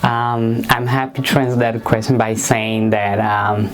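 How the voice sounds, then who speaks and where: Man, interview guest, measured, pausing often, monotone. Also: mid-range voice, rough recording. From Brazil. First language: Portuguese. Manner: measured, pauses with frequent ums. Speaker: guest, HIV activist. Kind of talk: thoughtful interview answers.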